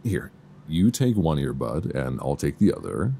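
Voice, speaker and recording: A man playing an affectionate, reassuring boyfriend in an intimate, casual ASMR roleplay, speaking softly and soothingly close to a binaural mic. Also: very deep voice, sing-song, vocal fry, exaggerated voice. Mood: gentle, reassuring. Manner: soft, intimate, unhurried, soothing. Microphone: close binaural microphone. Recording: faint background noise, binaural.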